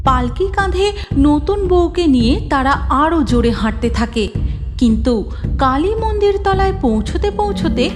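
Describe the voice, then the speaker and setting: A woman reading scripted cartoon story narration in an expressive, dramatic way. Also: sits high, normal speed, soft background music.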